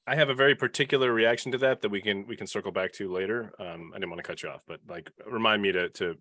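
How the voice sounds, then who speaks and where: A man talking casually and opinionatedly on a podcast panel, rambling into asides and animated retellings. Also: fairly low pitch, quick pace, no room sound.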